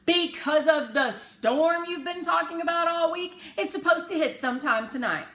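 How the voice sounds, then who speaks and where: A woman's voice: very high voice, normal speed, fairly bright tone, voice pushed up. A woman voicing a short-tempered, exasperated father in a storybook, speaking in a curt, weary way. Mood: matter-of-fact. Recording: roomy echo, faint background noise.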